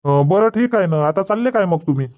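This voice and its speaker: A man's voice: high voice, animated, a little fast. A man playing plain-spoken village orange farmers in a cartoon comedy, chatting colloquially with a Varhadi Marathi accent from Vidarbha. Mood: agreeable. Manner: colloquial, repetitive rustic chatter. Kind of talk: dubbed cartoon comedy dialogue. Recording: dull lo-fi audio.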